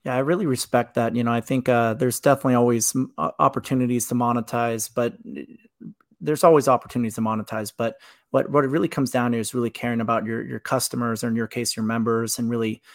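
Quick, gravelly male webinar host, affirming, filler-heavy, dry close sound. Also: medium pitch, fairly even intonation, dry recording. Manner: affirming, rambling with "you know" fillers. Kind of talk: casual webinar interview.

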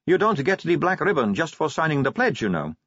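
A male reader puts on a young female vampire officer for a storybook. The voice sits high above his own and swings animatedly, teasing, breezy and sure of itself. The sound is slightly dull. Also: big pitch swings, a little fast, a bit bright, slightly rough, dry recording, full character voice. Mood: knowing, teasing. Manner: breezy, needling, coaxing.

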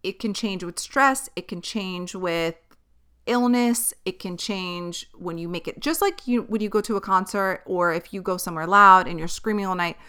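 Woman podcast host, early thirties, chatty and opinionated, bright tone, clear voice. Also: medium pitch, normal speed. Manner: chatty, opinionated, tangent-prone. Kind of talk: casual chat.

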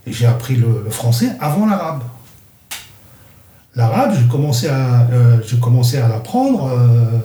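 A man's voice: medium pitch, very animated, a little slow, warm tone, echoey room. A man in his sixties from Algeria, first language Kabyle, answering interview questions in a hesitant way, with many fillers and restarts. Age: 60s. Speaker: interviewee, the host's father. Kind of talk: casual interview.

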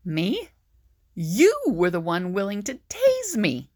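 A woman's voice: mid-range voice, wildly swooping pitch, slow, darkish tone, no room sound, heavily acted. A woman playing a practical, easily exasperated young woman in an audio drama, with a dry, explanatory manner.

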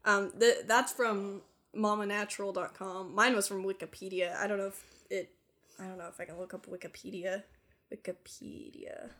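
A woman's voice: medium pitch, steady tone, moderate pace, a bit bright. Young woman, casual podcast co-host, giggly, rambling, lots of fillers. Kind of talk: casual chat.

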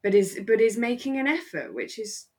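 A woman's voice: a little high, lively intonation, moderate pace. A woman with a British accent chatting casually and reflectively as a podcast co-host. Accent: British English. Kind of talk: casual chat, discussing a radio drama.

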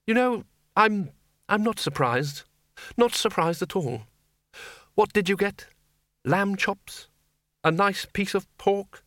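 A man's voice: sits high, expressive, slow pace, pauses often, fairly bright tone, no room sound, studio quality, pitched above the performer's own voice, heavily acted. A man voicing a brusque, irritable male head wizard from a storybook, impatient and bossy. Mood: exasperated.

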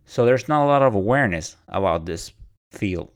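Guy from puerto rico hosting his podcast, lowish voice, lots of "you know"s. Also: expressive, moderate pace. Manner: conversational, many "you know" fillers and restarts. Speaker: podcast host. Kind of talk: casual solo podcast introduction.

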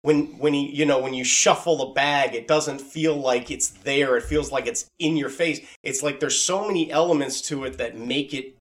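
A man's voice: medium pitch, normal speed, few pauses. A man hosting a podcast, talking casually and explaining things in an earnest, rambling way. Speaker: podcast host and audio drama maker. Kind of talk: casual conversation.

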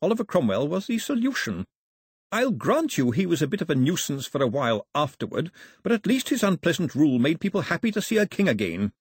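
A man's voice: on the high side, sing-song, fairly quick, slightly rough, no room sound, studio quality, voice pushed up. A man voicing a formal, self-assured, urbane male servant of the crown in an audiobook. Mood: smooth, assured. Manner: courtly, urbane. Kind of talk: audiobook character voice.

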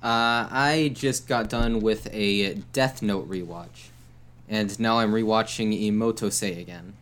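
A young man talking as a guest on a casual podcast, speaking in a measured, thoughtful way. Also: fairly low pitch, a bit bright. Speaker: guest, anime reviewer. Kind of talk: casual chat.

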